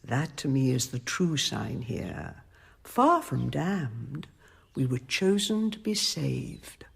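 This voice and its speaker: Abbess, radio drama. Composed and authoritative, lively intonation over a husky voice, shrewd and dryly ironic. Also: female voice, rumbling low, slow pace, full character voice. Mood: cool, persuasive. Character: commanding, shrewd, composed convent abbess of mature years.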